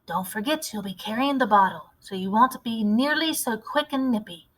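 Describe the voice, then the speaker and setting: A woman voicing a loving, shrewd old grandmother in a storybook, measured, fond and admonishing. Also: a little high, fairly bright tone, rough recording. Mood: cautious.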